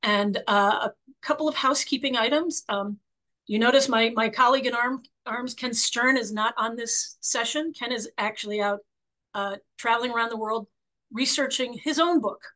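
A woman in her sixties with a croaky voice, hosting a book club interview over a video call from her home office, chatty and full of fillers. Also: fairly high pitch, moderate pace, low-quality recording. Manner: warm, chatty, many fillers and restarts.

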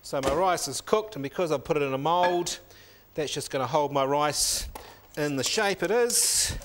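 A man's voice: fairly high pitch, normal speed, fairly bright tone, slight background noise. A middle-aged man, a chef in a teaching kitchen, explaining each step of a recipe while he cooks, on a lapel mic. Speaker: cooking show chef. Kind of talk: cooking demonstration.

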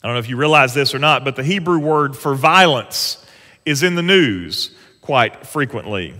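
A pastor, preaching an earnest, conversational sermon from a church stage. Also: male voice, mid-range voice, animated, moderate pace, pushed and bright. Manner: earnest, conversational preaching with rising emphasis on scripture. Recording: long church hall reverb.

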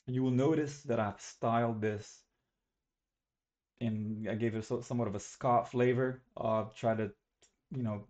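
A man's voice: medium pitch, a little mellow. A young man from Algeria giving a recorded student presentation on a webcam, talking casually and correcting himself as he goes. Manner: casual, self-correcting, slightly rambling.

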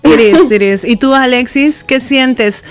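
High-voiced bilingual woman interviewer. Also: a little slow. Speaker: radio show host interviewing guests. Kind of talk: casual bilingual interview, switching between Spanish and English. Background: music playing behind.

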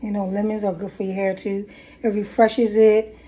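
A woman's voice: mid-range voice, little pitch movement, moderate pace, mellow, poor audio. A middle-aged woman with a head cold chatting through a casual hair tutorial at her kitchen table, rambling and restarting as she goes, recorded on a phone. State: has a head cold, coughing. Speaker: hair vlogger. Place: kitchen table at home, indoors. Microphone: phone camera at table.

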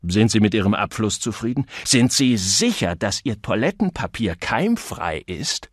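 A man's voice: on the low side, big pitch swings, unhurried, husky, dry recording, clean studio sound, heavily acted. A man voicing a cynical, articulate advertising copywriter in an audiobook, sardonic and rhetorical.

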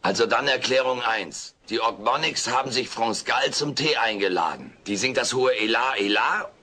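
A man playing a cheeky furry alien in a sitcom audio play, raspy and glib, sarcastically dodging blame. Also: mid-range voice, moderate pace, sharp tone. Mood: brazenly evasive. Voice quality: raspy, gravelly. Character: cheeky, wisecracking furry alien houseguest, male.

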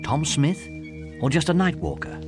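A man's voice: fairly high pitch, moderate pace, over music. A man reading a scripted children's adventure story as narrator.

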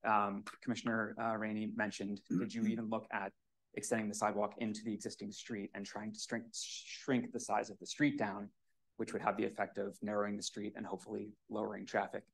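A man's voice: lowish voice, monotone, brisk, vocal fry. A man giving a hesitant, pleading public comment at a municipal commission meeting on a podium microphone. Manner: hesitant, many fillers, pleading.

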